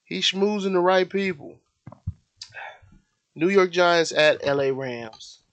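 A man's voice: high voice, slow pace, a bit bright, a little hiss or hum. An American man co-hosting a sports podcast, chatting casually about football in an informal, slangy, opinionated way. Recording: dull, lo-fi podcast audio.

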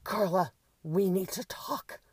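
A woman voicing an imperious, melodramatic woman artist, scolding loudly and theatrically in an audiobook story. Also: mid-range voice, slow, slightly rough, no echo, voice pushed up. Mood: imperious demand.

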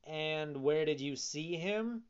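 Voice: mid-range voice, lively intonation, takes it slowly, clean tone, lo-fi sound. A narrator voicing a naive, kind-hearted wooden puppet boy, eager and earnest, in a storybook reading. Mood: curious.

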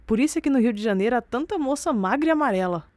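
A woman acts out a motherly Brazilian lady's companion in a period audio drama. Her voice is fairly high, and she fusses affectionately over her charge. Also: animated, rapid-fire, a little mellow, no echo, lightly acted. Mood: fussing, fond. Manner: solicitous, affectionate. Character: fussing, motherly female companion to a young lady.

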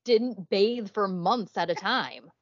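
Slow-talking chatty woman podcast host. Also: mid-range voice, no echo, muffled recording. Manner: chatty, laughing often, drawn-out emphasis. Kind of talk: casual chat.

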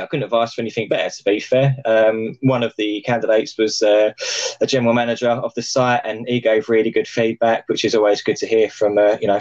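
Guy hosting a podcast on a video call, bit croaky, jokey, lots of ums, kinda dull sound. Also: on the low side. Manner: chatty, joking, many fillers. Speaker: podcast host. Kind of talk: casual podcast chat over video call. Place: plant room.

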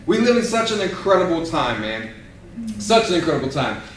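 Man, pastor, high voice, energetic folksy sermon, very echoey room, distant mic. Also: brisk, slight background noise. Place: church room with a small audience. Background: small live audience responding.

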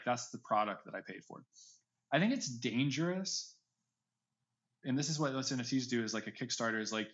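Man, medium pitch, opinionated podcast guest, conversational, explains by example, slightly muffled lo-fi recording. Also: fairly bright tone. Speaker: podcast guest, audio drama creator. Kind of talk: casual interview talk.

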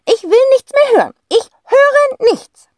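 A woman voicing an eccentric, exuberant artist aunt who talks in a high, theatrical, gushing way, in an audiobook. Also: sing-song, measured pace, no echo, studio quality. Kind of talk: audiobook character voice.

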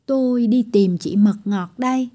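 A woman voicing a caring tiny girl who fusses gently over a patient in a children's storybook. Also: on the high side, a little slow, lightly acted. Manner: gently fussing.

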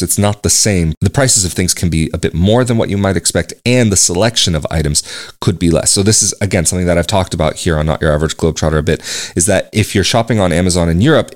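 Low-voiced American man chatting casually. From United States. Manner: conversational, rambling with restarts and asides. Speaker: expat vlogger. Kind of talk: casual talk to camera. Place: home in Portugal.

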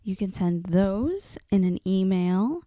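A woman's voice: mid-range voice, animated, slow, mellow, no echo, studio quality. A woman reading a friendly, scripted outro for a podcast. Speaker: guest announcer.

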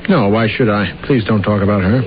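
A man's voice: lowish voice, brisk, close to an everyday voice. An actor playing an aggrieved, self-important, deluded man in an old-time radio drama. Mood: curt, guarded. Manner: self-important, bitter, insistent. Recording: muffled, noisy old radio drama recording.

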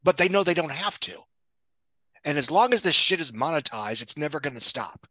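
Bright, forceful man giving blunt commentary. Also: on the high side, lively intonation, fairly quick, no room sound. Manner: blunt, sweary, sardonic, digressive. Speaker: guest film box office pundit. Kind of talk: opinionated podcast discussion.

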